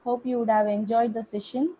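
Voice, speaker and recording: Webinar host, woman from India, formal and courteous, soft mellow tone, faint noise behind. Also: on the high side, steady tone, a little fast, poor audio. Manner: polite and formal, addresses the guest as sir. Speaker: webinar moderator.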